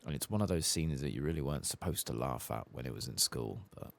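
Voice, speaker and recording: A soft-spoken man giving rambling ASMR commentary as he plays a game, sometimes dropping into a whisper. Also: extremely low pitch, expressive, quick pace, vocal fry. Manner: rambling, musing to himself; often drops into whispers. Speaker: host of a gaming playthrough channel.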